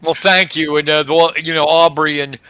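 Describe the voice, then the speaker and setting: A man's voice: a little high, flat delivery, moderate pace, pushed and bright, clean tone. A man, co-hosting a sports talk show in a friendly, teasing way. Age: 50s. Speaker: co-host.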